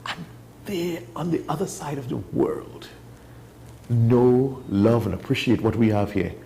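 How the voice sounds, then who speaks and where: A man's voice: mid-range voice, expressive, measured pace, gravelly, warm tone, a little hiss or hum. A Trinidadian man from Trinidad and Tobago, an enthusiastic wildlife guest telling stories in a casual studio chat. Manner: enthusiastic, storytelling.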